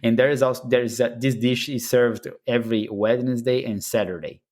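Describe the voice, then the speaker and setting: A man's voice: medium pitch, steady tone, a little fast, a little mellow. A man from Brazil with a Brazilian Portuguese accent, a podcast guest answering questions in casual chat, often restarting phrases and laughing as he tells stories. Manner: hesitant restarts, laughs while telling anecdotes.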